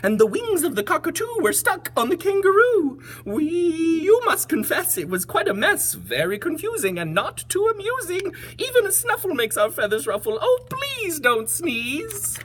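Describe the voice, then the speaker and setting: Shrill, flustered storybook birds. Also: female voice, huge pitch swings, normal speed, hardly any pauses, full character voice. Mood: flustered complaint. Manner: shrill, squawky, complaining in rhyme. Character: squawking, flustered parrot and small birds. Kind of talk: animated picture-book read-aloud.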